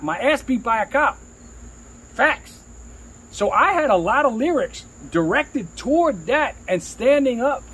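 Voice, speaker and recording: A man's voice: very high-pitched, a bit bright, breathy edge, poor audio. A middle-aged man, a rapper telling career stories in a casual, slangy, profane way, repeating phrases for emphasis. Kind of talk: casual storytelling chat.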